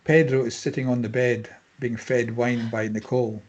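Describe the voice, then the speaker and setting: A man with a husky voice reading scene headings and stage directions as scripted narration at a table read. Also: mid-range voice, little pitch movement, moderate pace, a little mellow, muffled recording, a little hiss or hum.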